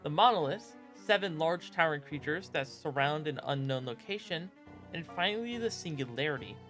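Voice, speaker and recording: A man narrates a video essay as its host in a fairly high voice. Music plays behind his scripted delivery. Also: expressive, a little fast, fairly bright tone.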